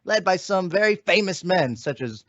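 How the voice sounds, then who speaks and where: A man's voice: sits high, lively intonation, moderate pace, no echo, muffled recording. A man playing a slangy, self-important freeloading boyfriend who bluffs and stalls, in an old-time radio comedy. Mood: pompous.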